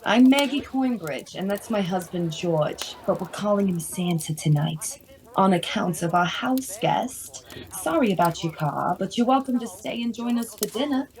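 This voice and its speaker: A woman's voice: mid-range voice, expressive, moderate pace, a little mellow, close to an everyday voice, low-quality recording. Kindly hostess and wife for audio drama, female actor: mid-range, homey, hospitable. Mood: welcoming. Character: kindly, motherly wife and hostess, playing Missus Claus. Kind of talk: radio play acting.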